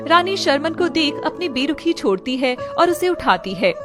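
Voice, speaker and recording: A woman's voice: sits high, big pitch swings, moderate pace, music in the background. A woman working as a story narrator, reading scripted narration in a dramatic style.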